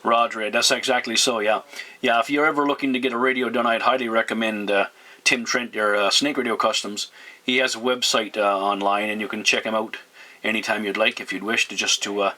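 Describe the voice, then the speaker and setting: A man's voice: fairly low pitch, fairly even intonation, a little fast, sharp tone. A man making casual, polite radio conversation as a CB operator, using radio jargon. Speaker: CB radio operator and YouTube channel host.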